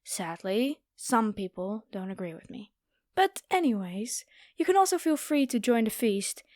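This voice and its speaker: A woman's voice: a little high, sing-song, a little slow, darkish tone, no echo, studio quality. A woman playing a haughty, predatory noble vampire girl in an ASMR roleplay, with a taunting, playful, theatrical delivery. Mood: commanding, casual.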